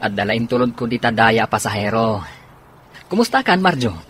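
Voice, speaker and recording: A man's voice: medium pitch, big pitch swings, moderate pace, a bit bright. A man playing an easygoing, friendly driver in an Ilocano radio drama. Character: easygoing male passenger-vehicle driver, friendly.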